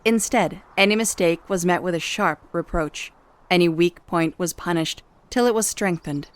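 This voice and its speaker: A woman's voice: fairly low pitch, moderate pace. A woman reading a scripted story as the narrator of an audio drama. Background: music.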